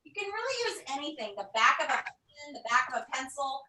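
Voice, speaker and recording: A woman's voice: high voice, big pitch swings, fairly quick, sharp tone, slight background noise, rough recording. A woman teacher talking and reading aloud to an online class, enthusiastic and encouraging. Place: indoors, on a video call.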